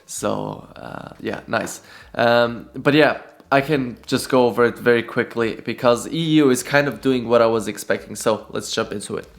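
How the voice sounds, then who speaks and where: A young man vlogging his day and casually talking through trading charts, relaxed and self-deprecating, with frequent fillers. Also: medium pitch, normal speed. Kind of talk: casual vlog and market commentary.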